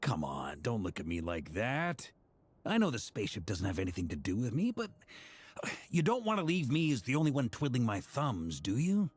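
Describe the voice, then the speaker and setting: Lively, easygoing young adventurer. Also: male voice, mid-range voice, moderate pace, slightly rough. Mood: playful pleading. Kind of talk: video game voice acting.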